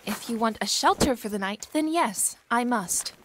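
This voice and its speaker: A woman's voice: on the high side, lively intonation, normal speed, noisy background, close to an everyday voice. An actress playing a proud, earnest, headstrong young woman in a period audio drama, with formal diction. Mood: practical, assured.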